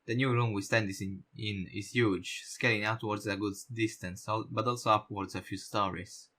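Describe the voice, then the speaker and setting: A man's voice: on the low side, steady tone, normal speed, pushed and bright. A man reading a visual novel aloud as a let's player, narrating the story with casual reactions and now and then stumbling over words. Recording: voice over guitar background music.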